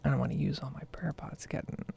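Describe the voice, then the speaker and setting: A woman speaking in a soft, breathy whisper, rambling casually in an ASMR style as she comments on her own gameplay. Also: low voice, fairly even intonation, fast talker. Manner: casual, meandering. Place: basement at home.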